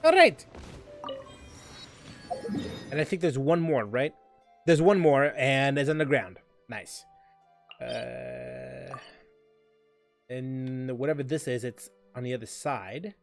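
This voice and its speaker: A man's voice: medium pitch, expressive, slow pace, gravelly, long pauses, faint music behind. A man giving casual gameplay commentary, thinking aloud and often repeating himself. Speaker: game streamer.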